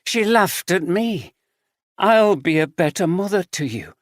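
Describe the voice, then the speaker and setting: A man voicing a disturbed middle-aged woman, slow and dreamy, then sharp and pleading. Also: high-pitched, big pitch swings, no room sound, studio quality, pitched above the performer's own voice. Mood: eerie tender.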